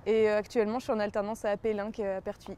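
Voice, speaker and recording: A woman's voice: medium pitch, level tone, fast talker, mellow, slight background noise. A woman aged twenty-nine, speaking casually in an interview as a design student. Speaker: design student on a work-study program.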